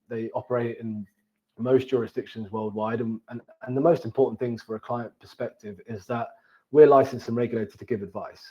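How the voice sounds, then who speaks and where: A man's voice: mid-range voice, warm tone, muffled recording. A man with a southern English accent giving an informal webinar presentation in a conversational way, now and then repeating words as he goes. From Cambridge, England. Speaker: wealth manager presenting as guest. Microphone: online webinar call.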